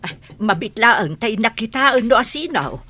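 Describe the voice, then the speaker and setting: A lively, fairly high voice of a fussy village mother , acted by a woman in a radio comedy. She is middle-aged, polite and probing. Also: moderate pace. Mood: curious. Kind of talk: Ilocano radio comedy drama acting.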